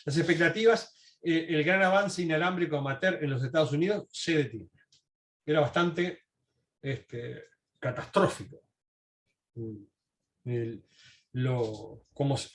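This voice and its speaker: A man giving a history presentation, reading and commenting in a halting, self-correcting way. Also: on the high side. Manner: halting, with frequent self-corrections and throat clearing. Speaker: lecturer. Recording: echoey, roomy sound.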